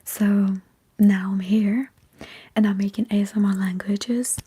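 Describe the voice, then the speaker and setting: Slow, very breathy whispering woman. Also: medium pitch, fairly even intonation, warm tone. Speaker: ASMR streamer chatting with live viewers. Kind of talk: whispered ASMR livestream chat.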